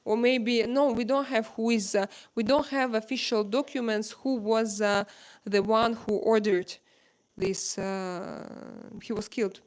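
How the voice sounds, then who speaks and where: A woman with a Russian accent and Russian as her first language, hosting a casual live-stream chat at home and pausing often to search for words. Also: on the high side, steady tone. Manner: halting, searching for words with many pauses.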